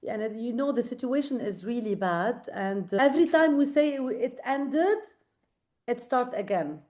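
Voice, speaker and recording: A woman's voice: on the high side, expressive, a little slow, a little mellow. A tired woman from Lebanon with a Lebanese accent, a guest on a late-night video call, speaking earnestly and reflectively with many fillers. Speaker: guest, creative director.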